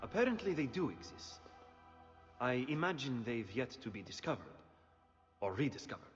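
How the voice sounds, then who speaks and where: An actor playing a thoughtful, learned ally in a dramatic video game cutscene. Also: male voice, mid-range voice, very animated, normal speed, lightly acted. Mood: intrigued. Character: thoughtful learned ally pondering an ancient mystery. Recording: muffled, long hall reverb, music underneath.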